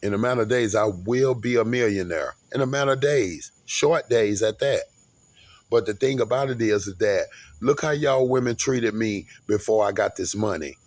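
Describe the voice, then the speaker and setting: Man, forties, lowish voice, rambling, grandiose, restarts a lot. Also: normal speed, a little mellow, no echo. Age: 40s. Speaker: vlogger. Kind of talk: rambling monologue.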